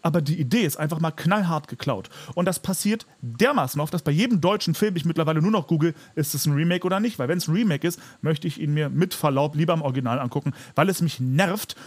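Male podcast co-host, higher-pitched husky voice, emphatic and opinionated in casual talk. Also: quick pace, few pauses. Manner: emphatic, ranting, hyperbolic.

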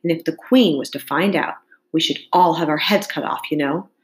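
Woman reading as an anxious, humble gardener, halting and deferential. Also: deep voice, close to an everyday voice, poor audio. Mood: nervous, apologetic. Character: anxious, apologetic playing-card gardener, humble and plain-spoken.

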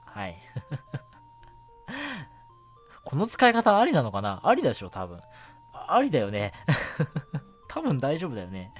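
Young male radio host, casual and rambling, self-effacing, animated intonation over light background music. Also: medium pitch. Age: young adult. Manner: giggly, self-deprecating, rambling. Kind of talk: casual solo radio chat.

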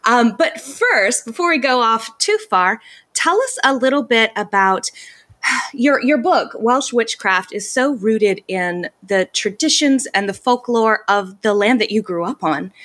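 A woman's voice: a little high, lively intonation, measured pace, a bit bright. Enthusiastic woman podcast host. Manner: enthusiastic, frequent ums. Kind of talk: podcast hosting.

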